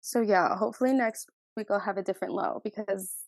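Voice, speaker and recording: A woman's voice: mid-range voice, brisk, creaky, no room sound. Congested young woman, dry close sound. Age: 20s. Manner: giggly, laughs between phrases. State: congested with a sore throat. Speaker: podcast co-host. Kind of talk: casual chat.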